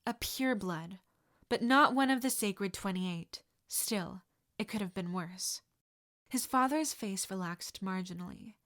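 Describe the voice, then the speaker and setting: A woman reading fan fiction aloud as an audiobook narrator, steady and expressive. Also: mid-range voice. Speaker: podfic reader.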